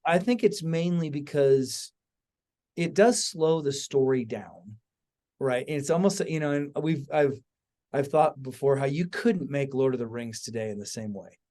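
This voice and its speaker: A man's voice: medium pitch, unhurried, dark tone. A man hosting a podcast in a casual, conversational style, informal and enthusiastic. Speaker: podcast host.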